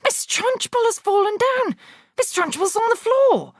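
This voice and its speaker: A woman's voice: low voice, big pitch swings, normal speed, no room sound, clean studio sound. A woman voicing a frightened, flustered young schoolboy crying out. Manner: crying out, panicky.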